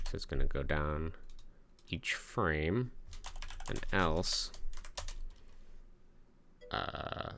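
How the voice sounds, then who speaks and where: Very deep, gravelly man coding live. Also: fairly bright tone, slight background noise. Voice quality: soothing low voice. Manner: mutters through code step by step, self-mocking asides to chat. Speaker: streamer and game developer. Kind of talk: live-streamed coding, thinking aloud. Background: keyboard typing and clicks.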